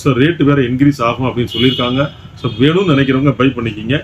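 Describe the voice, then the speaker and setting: A man with a Tamil Nadu accent giving an emphatic, repetitive product sales pitch, dropping in English words as he presents. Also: fast. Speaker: shop presenter selling electronics. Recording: dull lo-fi sound with faint background noise.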